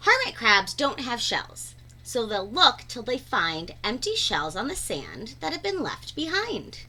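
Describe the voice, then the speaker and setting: Woman, children's read-aloud storyteller. High-set voice, unhurried pace, expressive teacher-like phrasing.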